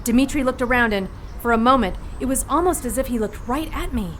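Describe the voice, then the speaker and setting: A woman's voice: a little high, a little fast, fairly bright tone, a little hiss or hum, lightly acted. A woman playing a plucky, sassy 1940s heroine who narrates her adventure in an audio drama with brash, wry slang. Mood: sassy, wary.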